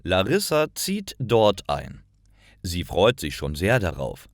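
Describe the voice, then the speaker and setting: A man reading simple stories aloud in a clear, careful narration for language learners. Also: low voice, huge pitch swings, slow pace, no room sound, studio quality. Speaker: storyteller for German learners. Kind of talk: scripted slow narration.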